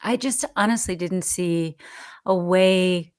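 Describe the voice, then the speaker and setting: An older woman with an American accent chats as a podcast guest. Her voice sits slightly low for a woman, but she is animated and lively, jumping up and down in pitch as she acts out her own quotes. She laughs easily as she talks. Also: slow, clean tone. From United States. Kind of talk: casual interview storytelling.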